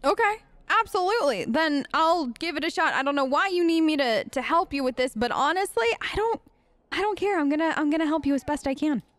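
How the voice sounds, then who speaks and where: A high-voiced woman chats her way through a game in character. She is lively, earnest and informal, sounding close and dry on the mic. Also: brisk, dry recording. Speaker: let's play host role-playing as an elf character. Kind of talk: casual improvised role-play game commentary.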